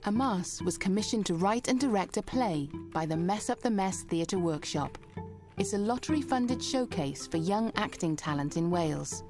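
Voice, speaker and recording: A woman giving measured, scripted documentary narration. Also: medium pitch, lively intonation. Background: music.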